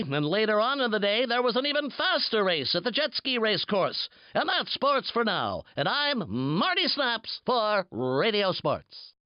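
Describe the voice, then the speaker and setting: High-pitched peppy cartoon sportscaster. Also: male voice, no echo. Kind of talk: parody sports radio bulletin. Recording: dull lo-fi game audio.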